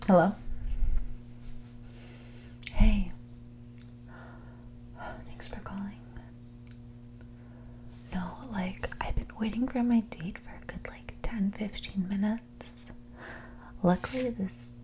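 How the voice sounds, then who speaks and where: A woman playing a snobby, dismissive rich young woman in a whispered and soft-spoken ASMR roleplay, condescending and ditzy, saying "like" often. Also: medium pitch, takes it slowly, long pauses, noisy background, natural voice. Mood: smug. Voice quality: breathy whisper mixed with creaky soft speech. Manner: ditzy, condescending, hesitant delivery full of "like".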